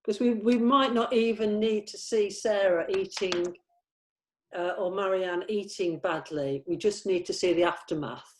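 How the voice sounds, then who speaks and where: A woman's voice: medium pitch, a little slow. A woman with a mid-range voice talks casually in a group script discussion. She queries details, often tagging a question onto the end of her point. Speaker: participant in a script table read.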